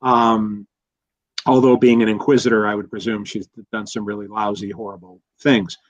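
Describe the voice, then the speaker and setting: Halting man, dry dull recording. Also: fairly low pitch, flat delivery, no room sound. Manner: halting, repeats words while thinking aloud. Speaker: guest expert. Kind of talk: casual analytical discussion.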